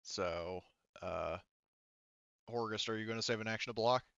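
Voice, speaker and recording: A man with a fairly low, bright voice runs a tabletop roleplaying session as game master. He talks informally and works things out aloud, in a very dry recording. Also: normal speed, gravelly, dry recording, muffled recording. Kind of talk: running a tabletop roleplaying game, casual and improvised.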